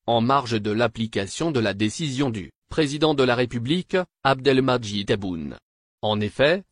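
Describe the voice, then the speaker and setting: A man's voice: mid-range voice, no echo, clean studio sound. A man narrating scripted news in a formal way, reading written copy as a voice-over.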